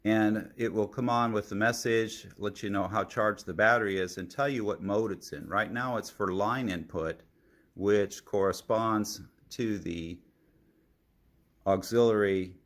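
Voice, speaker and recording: A man narrating a product demonstration as a reviewer, explaining things in a measured, methodical way. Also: on the low side.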